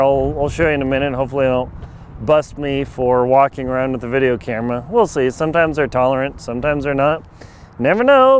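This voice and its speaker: Chatty hoarse man vlogging outdoors. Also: a little high, slight background noise. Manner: chatty, wry, jokey asides. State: a bit hoarse. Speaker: travel vlogger. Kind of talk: casual handheld travel vlog. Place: outdoors on a rural farm lot. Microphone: handheld camera mic at arm's length.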